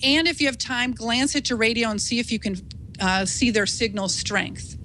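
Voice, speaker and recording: Bright-voiced woman giving informal lesson. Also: on the high side, normal speed, slight background noise. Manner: encouraging, reassuring, conversational. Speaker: instructor, ham radio operator. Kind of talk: informal instructional talk.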